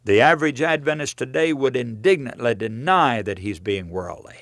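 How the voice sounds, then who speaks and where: A man reading a scripted sermon from a book as a preacher, in an earnest, emphatic and measured way. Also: medium pitch, animated, a bit bright, dry recording. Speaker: preacher and author reading his own book.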